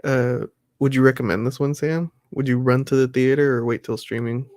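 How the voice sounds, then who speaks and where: Man, mid-pitched voice, very dry close sound, casual chat, review host. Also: fairly even intonation, normal speed, a little mellow, no room sound. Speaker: host of film review discussion.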